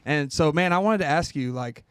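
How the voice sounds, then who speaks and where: A man's voice: on the high side, moderate pace, a bit bright, clean tone, dry recording. A male podcast host talking casually, enthusiastic and swearing freely. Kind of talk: casual conversation.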